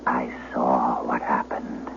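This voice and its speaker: An actor playing a frail, dying old shepherd, speaking in a weary, solemn rustic manner in an old radio drama. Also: male voice, rumbling low, flat delivery, takes it slowly, creaky, a bit bright, full character voice. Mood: confessing, failing. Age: elderly. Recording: dull vintage radio, faint hiss.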